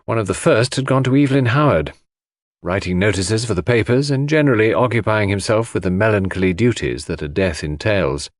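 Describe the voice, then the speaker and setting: A man's voice: on the low side, fairly quick, dry recording, clean studio sound. A man reading scripted literary fiction as a dramatic audiobook narrator.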